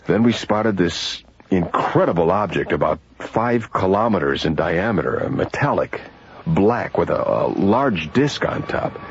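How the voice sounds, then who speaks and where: A man playing a plain-spoken, steady space captain in a radio drama, laconic and husky. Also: deep voice, measured pace, heavily acted. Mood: shaken, recounting. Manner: laconic, down-to-earth. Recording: dull radio recording, faint music.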